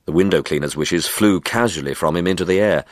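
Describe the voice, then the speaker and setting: A man reading a short story as dramatic scripted narration, in a measured, deliberate manner. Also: low-pitched, no room sound, studio quality. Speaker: story reader.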